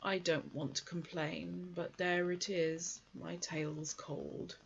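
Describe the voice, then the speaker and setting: A woman's voice: lowish voice, level tone, slow pace, vocal fry, husky, exaggerated voice. A woman voicing a gloomy, grumbling old male donkey. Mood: glum. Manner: grumbling, glum. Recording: dull lo-fi recording.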